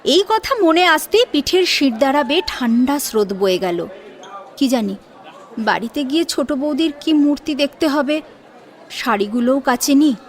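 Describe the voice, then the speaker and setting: A woman's voice: high voice, expressive, moderate pace, some chatter behind. A woman narrating a scripted audio story in a dramatic storytelling style.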